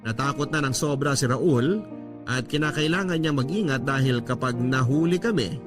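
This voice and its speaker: Male Filipino radio drama narrator, mid-pitched, first-person storytelling over a clear music bed. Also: normal speed. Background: background music.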